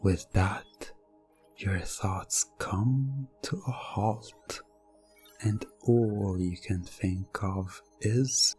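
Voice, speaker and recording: Man, hypnosis ASMR narrator, deep-pitched, drawn-out delivery, commanding, deliberate, faint music underneath. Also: darkish tone. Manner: slow, deliberate, commanding second-person delivery. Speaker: hypnosis ASMR role-play performer.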